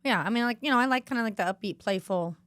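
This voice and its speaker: A woman's voice: on the high side, very fast, a bit bright, no echo. A young woman co-hosting a podcast in casual chat, playful and giggly, with a lot of "like". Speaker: podcast co-host.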